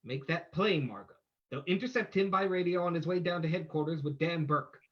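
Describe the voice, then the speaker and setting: A man playing a confident, commanding gentleman sleuth in an old-time radio mystery. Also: a little high, a little fast, dull audio, close to an everyday voice.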